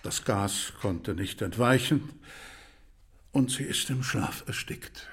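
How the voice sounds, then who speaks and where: An actor playing a dutiful, discreet manservant in a radio drama, speaking earnestly and with deference. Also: male voice, fairly low pitch, lively intonation, takes it slowly, a bit bright, slightly rough, full character voice. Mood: sombre, regretful. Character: Mister Kirby, dutiful, discreet household manservant.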